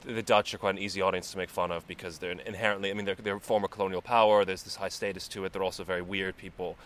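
A man with a mixed Central European and American accent, a comedian chatting fast and animated, laughing often. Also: low-pitched, a little hiss or hum. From Slovenia and Austria. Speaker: stand-up comedian, podcast guest. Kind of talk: casual chat. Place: outdoors in a park cemetery.

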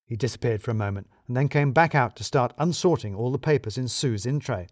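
A man's voice: medium pitch, fairly quick, no room sound. A man giving dry, wry, scripted first-person narration of a comic mystery. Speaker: audio drama narrator.

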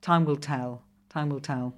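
A woman's voice: low-pitched, steady tone, measured pace. A middle-aged Welsh woman, whose first language is Welsh, talking as a podcast guest, hesitant and full of ums and restarts. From Wales. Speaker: guest, comedy writer and former commissioning editor. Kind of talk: casual podcast conversation.

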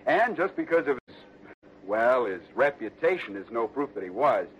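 A man's voice: mid-range voice, sing-song, normal speed, natural voice. An actor playing a chatty, fair-minded American insurance salesman who hedges and hesitates, in early sixties radio drama style. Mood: hesitant, hedging. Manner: hesitant, hedging, colloquial. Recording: muffled, noisy vintage radio recording.

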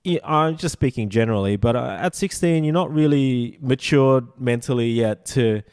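A man's voice: medium pitch, darkish tone, clean tone, no room sound. Eager stammering man in his forties, dry sound. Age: 40s. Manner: enthusiastic, halting, many stumbles and "like" fillers. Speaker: podcast host. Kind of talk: casual interview chat.

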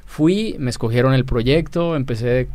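A man's voice: mid-range voice, a little slow, a little mellow, dry recording. A man in his forties, an educator and interview guest, telling his own story casually with bits of quoted dialogue. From Colombia. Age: 40s.

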